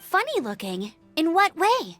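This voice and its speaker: A confident young knight scout from a video game. Her voice is high and animated, earnest and helpful, with faint music underneath. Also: heavily acted. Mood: questioning. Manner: brisk, earnest, determined.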